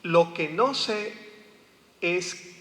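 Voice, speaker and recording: A man's voice: sits high, animated, drawn-out words, a bit bright. A man from Colombia with a Colombian Spanish accent, giving a public lecture as a pastor and theologian, often asking the audience questions. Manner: engaging, asks the audience questions. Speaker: guest speaker, pastor and theologian. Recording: long hall reverb.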